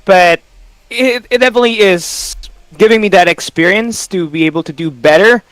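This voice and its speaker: Smooth-voiced man, dry sound. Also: high-pitched, moderate pace, fairly bright tone, dry recording, rough recording. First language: Tagalog. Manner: earnest, with frequent fillers like "you know". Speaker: esports caster, guest. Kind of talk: casual interview answers.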